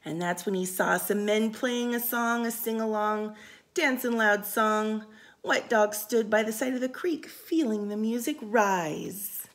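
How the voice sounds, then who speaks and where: A woman reading a picture book aloud for storytime, expressive and sing-song, with casual asides. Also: medium pitch, a little slow. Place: indoors, room by a window.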